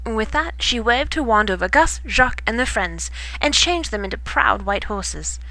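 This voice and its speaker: A woman reading a children's bedtime story in expressive storybook narration. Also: fairly high pitch, normal speed, dry recording, low-quality recording.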